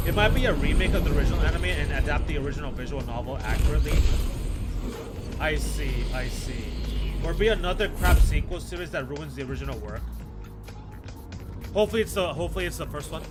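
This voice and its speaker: A man with a fairly high voice streams a video game. He thinks aloud in slangy, repetitive phrases, talking casually to his chat. Game music is clearly audible behind him. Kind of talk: casual livestream commentary, chatting with viewers.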